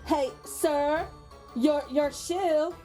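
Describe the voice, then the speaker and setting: A woman voicing a quirky, polite young girl who speaks in a halting, casual way for a children's story. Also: sits high, mellow, soft background music, voice pushed up. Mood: hesitant, polite. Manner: hesitant, halting, casual filler words.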